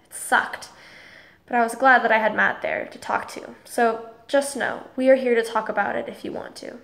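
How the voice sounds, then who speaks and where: A woman's voice: on the high side, fairly even intonation, moderate pace, vocal fry, a bit bright. A woman playing a caring, curious teenage girl in an audio drama.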